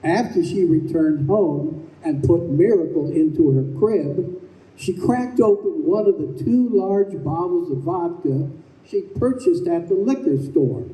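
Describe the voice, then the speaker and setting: A male narrator of an old-time radio drama, solemn and very dark-toned. He reads at a slow, measured pace, somewhat distant in an echoey live room. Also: fairly high pitch, lively intonation.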